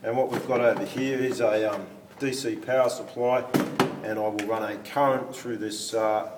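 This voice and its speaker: A man explains a physics demonstration step by step as a teacher, haltingly thinking aloud while he handles equipment. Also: on the low side, steady tone. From Australia. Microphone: phone camera some way off. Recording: distant phone recording, background hiss.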